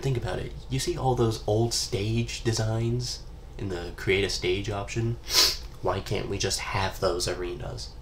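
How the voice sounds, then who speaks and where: A man's voice: low-pitched, slight background noise. A young man with a congested, stuffy voice from a cold, rambling casually about a video game and swearing now and then. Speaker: gaming YouTuber. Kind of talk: casual solo gaming commentary.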